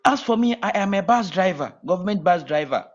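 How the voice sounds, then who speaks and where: A man from Ghana with a Ghanaian accent, talking as a guest in a casual call-in interview, halting and repeating his words. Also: sits high, lively intonation. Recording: muffled lo-fi call audio.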